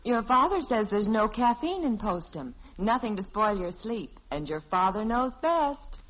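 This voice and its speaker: A woman's voice: mid-range voice, sing-song, music in the background. Wry, muffled radio sitcom mother. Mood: reassuring. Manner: measured, wry. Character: patient, gently sardonic housewife and mother. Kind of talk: 1950s radio sitcom acting. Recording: dull old radio recording.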